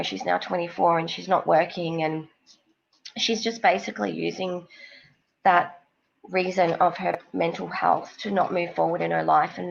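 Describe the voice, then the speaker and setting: A woman with a cold and a snuffly nose, giving psychic card readings on a live stream in a slow, rambling, reassuring way. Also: fairly low pitch, creaky, slightly rough, muffled recording.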